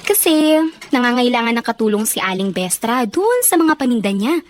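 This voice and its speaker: A high-pitched, earnest elder sister from a poor family. She is dutiful and emotive, stretching her words with worry. There is clear noise behind her. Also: very animated, normal speed. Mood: earnest, reassuring. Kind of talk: Filipino radio drama acting.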